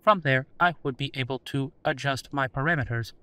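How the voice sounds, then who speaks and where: Cold, piercingly bright old computer AI man's voice. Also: medium pitch, a little fast, clear, resonant tone, no echo, natural voice. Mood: literal, guarded. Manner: stilted, formal, literal, emotionless. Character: old male-voiced artificial intelligence, cold, literal, guarded. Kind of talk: audio drama machine voice.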